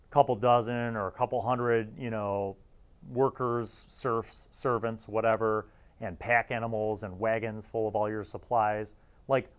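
A man's voice: medium pitch, smooth. A man in his forties talking casually and wryly to camera as a host reviewing things, on a lapel mic in a small studio. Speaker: host reviewing old gaming magazines. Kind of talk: casual, informal commentary to camera.

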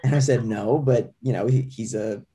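A man's voice: medium pitch, moderate pace, dark tone. An American man in his thirties chatting casually and telling anecdotes as an interview guest. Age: 30s. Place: room with poster, video call.

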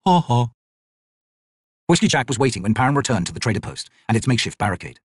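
A man's voice: lowish voice, lively intonation, racing, husky, dry recording, studio quality. A man, an actor, reading fiction aloud as dramatic scripted narration.

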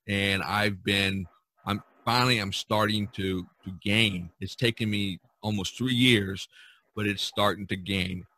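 A man's voice: fairly low pitch, sharp tone, a little hiss or hum. A man hosting a casual podcast about art, talking in a rambling, enthusiastic way and repeating himself. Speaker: podcast host and artist.

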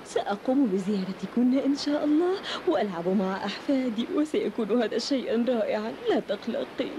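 Woman playing a gentle old mother, noisy recording. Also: fairly high pitch, fairly quick, natural voice. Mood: gentle, reassuring. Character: gentle, weary elderly widowed mother, devoted and pious. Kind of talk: Arabic cartoon dubbing in formal Arabic. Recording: noisy, hissy old cartoon soundtrack.

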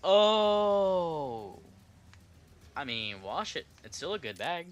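A man's voice: a little high, sing-song, very slow, slight background noise. A young man on a headset mic, casually commenting while he plays a game and jokingly mimicking its lines. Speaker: streamer. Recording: game music under voice. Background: game music.

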